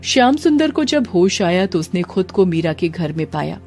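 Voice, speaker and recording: A woman's voice: fairly low pitch, sing-song, moderate pace, a little mellow, faint music behind. A woman narrator delivering dramatic, scripted storytelling for a cartoon.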